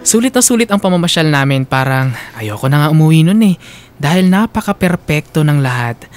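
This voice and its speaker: A woman's voice: sits low, big pitch swings, fairly quick, faint music behind. A young Filipina telling her love story in a radio drama. She narrates in the first person, sentimental and confiding, with fond attachment in the delivery. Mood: fond, wistful.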